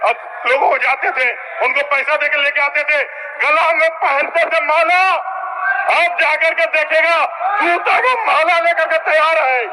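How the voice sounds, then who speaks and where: A man's voice: very high-pitched, fairly even intonation, measured pace, bright, forceful tone. A man gives a combative, accusatory political speech in an assembly, repeating phrases for emphasis. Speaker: opposition legislator. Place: large indoor legislative chamber. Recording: reverberant hall, public address sound.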